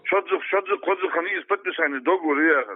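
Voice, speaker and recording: Husky caucasus-guy on the phone, bit high, stop-start, keeps repeating himself. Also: no room sound. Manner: halting, repeats phrases. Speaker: phone interviewee answering questions. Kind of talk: informal phone discussion. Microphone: telephone. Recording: dry, narrow telephone line.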